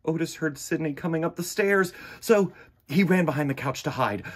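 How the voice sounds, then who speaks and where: A man reading a children's picture book aloud in an expressive, dramatic way, with swells and hushes. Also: fairly high pitch, normal speed, noisy background. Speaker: children's storybook reader. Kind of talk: animated read-aloud narration of a picture book.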